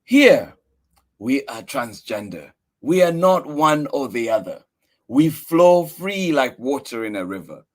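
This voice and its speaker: A man reads a children's picture book aloud in a mid-pitched voice. His delivery is earnest and emphatic, with very animated, wide-ranging intonation that suits a storytime for young listeners. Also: measured pace. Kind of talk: expressive read-aloud for children.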